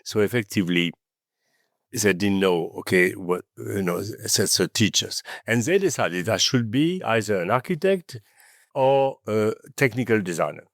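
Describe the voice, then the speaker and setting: A man with a French accent, French his first language, talking as a podcast guest in an animated storytelling manner, often adding "okay?" and "you know". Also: fairly low pitch, measured pace, no room sound, studio quality. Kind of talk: conversational interview.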